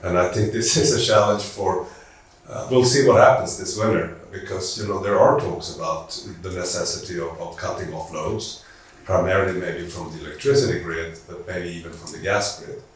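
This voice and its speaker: A man speaking on a conference panel, thoughtful and halting, with restarts as he works through his points. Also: sits low, fairly even intonation. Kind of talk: panel discussion. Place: conference hall. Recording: echoey hall, distant mic.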